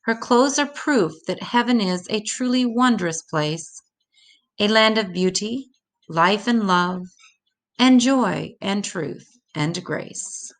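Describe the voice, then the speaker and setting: An older woman reading a rhymed children's religious story aloud in a measured, deliberate way. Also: mid-range voice, expressive.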